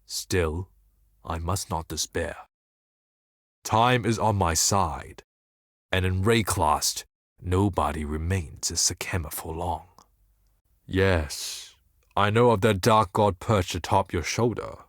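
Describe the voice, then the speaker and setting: A blind desert seer from a fantasy game, grandiose and tormented. His voice sits low and moves slowly, with a solemn, ominous weight to every formal phrase. The sound is close and dry. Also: no echo. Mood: bitter, then musing. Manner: solemn, formal, ominous, deliberate theatrical delivery. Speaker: game character voice actor. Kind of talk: theatrical scripted fantasy game monologue.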